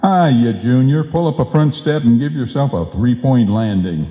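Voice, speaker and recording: A man's voice: mid-range voice, moderate pace, natural voice. A man playing a bumbling, boastful husband in an old-time radio comedy, talking in folksy, slangy wisecracks. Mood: wisecracking, dismissive. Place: live performance in a reverberant hall. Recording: echoey hall, dull live stage sound.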